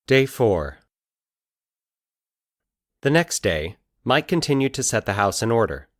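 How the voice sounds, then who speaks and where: A man narrating scripted eerie fiction in a measured, deliberate, formal storytelling manner. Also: medium pitch, big pitch swings, dry recording, clean studio sound.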